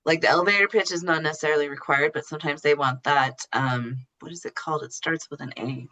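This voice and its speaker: A woman's voice: low voice, fast talker, pushed and bright. A woman explaining things as a podcast guest in casual, informal interview talk. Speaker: podcast guest explaining her know-how.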